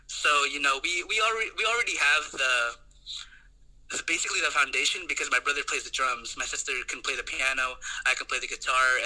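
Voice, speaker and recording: A man's voice: medium pitch, bright, forceful tone, rough recording. A young man from the Philippines, a podcast guest, chatting enthusiastically and rambling with many fillers and restarts. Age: young adult. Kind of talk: casual chat.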